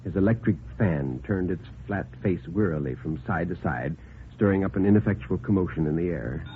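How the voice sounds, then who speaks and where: A man narrating a story as a 1950s radio storyteller, with theatrical, suspenseful, deliberate phrasing. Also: sits low, expressive, a little hiss or hum. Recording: tinny band-limited vintage radio recording.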